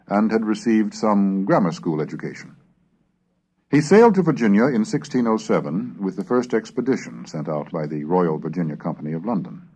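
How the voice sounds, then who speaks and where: A man giving a formal, measured reading of historical English texts in a scripted, declamatory narration style. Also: fairly low pitch, darkish tone, breathy edge.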